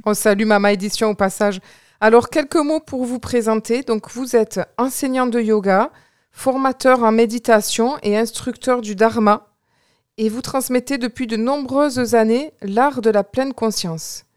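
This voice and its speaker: Smooth higher-voiced woman radio host. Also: moderate pace, no echo, studio quality. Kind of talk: radio interview.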